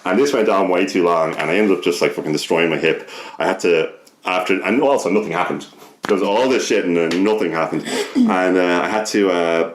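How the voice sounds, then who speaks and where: A man's voice: sits low, a little fast, echoey room, a little hiss or hum, poor audio. A young Irish man telling a personal story casually as a podcast guest, sweary, rambling and often interrupting himself.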